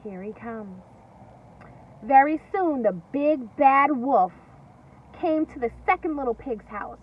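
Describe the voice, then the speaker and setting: A woman with a high, very animated voice reads to young children like a teacher. She is singsong and emphatic, leaving pauses after questions. The mic sounds distant, with slightly dull sound and faint noise. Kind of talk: interactive storybook read-aloud. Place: at home.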